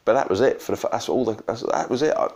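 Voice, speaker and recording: Casual fan vlogger, male, very low voice, hoarse from shouting the night before, rambling delivery. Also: quick pace. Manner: rambling, full of ums and restarts. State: slightly croaky from shouting and drinks. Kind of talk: casual post-match reaction vlog.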